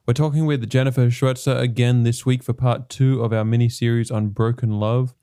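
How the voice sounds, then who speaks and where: A man hosting a podcast, introducing his guest in a relaxed, friendly way. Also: medium pitch, fairly quick, clear, resonant tone, dry recording, studio quality. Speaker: podcast host.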